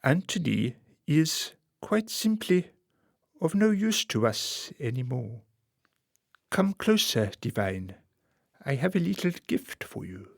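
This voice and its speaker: A man acting a suave, calculating political fixer in a radio play, speaking formally and deliberately with quiet menace. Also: on the high side, very animated, creaky, dry recording, clean studio sound, full character voice. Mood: controlling, sly. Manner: formal, measured, deliberate phrasing.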